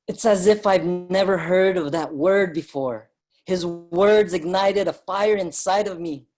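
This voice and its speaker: An actor playing an earnest Filipino migrant writer and activist, narrating dramatically in a staged reading. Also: male voice, sits high, unhurried, a little mellow, soft background music. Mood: reflective, grave.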